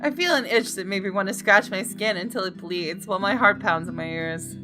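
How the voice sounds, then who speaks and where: A woman's voice: mid-range voice, fairly quick, close to an everyday voice. A woman reading the first-person narration of a jaded, guilt-ridden woman in a visual novel, in a dramatic confessional manner. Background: guitar game music.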